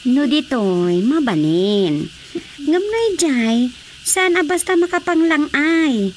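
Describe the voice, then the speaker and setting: A woman's voice: high-pitched, big pitch swings, slow, slight background noise, heavily acted. A woman with an Ilocano Filipino accent, acting a sassy, scolding young woman in a radio comedy drama. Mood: chatty, concerned.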